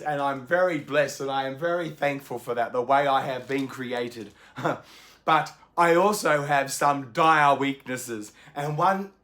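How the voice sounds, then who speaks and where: A man, a book video host, talking unscripted to camera at home in a chatty, polite way with lots of ums, his voice slightly croaky. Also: medium pitch, fairly bright tone. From Australia. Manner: chatty and polite, many ums and small laughs. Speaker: BookTube host. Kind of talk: unscripted casual chat to camera. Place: home indoors in the evening.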